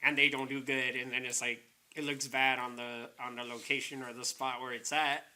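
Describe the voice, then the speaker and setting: A man with a slightly raspy voice, talking casually as a guest DJ in an interview. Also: mid-range voice, flat delivery, normal speed, pushed and bright. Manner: casual, filler-heavy with "it's like".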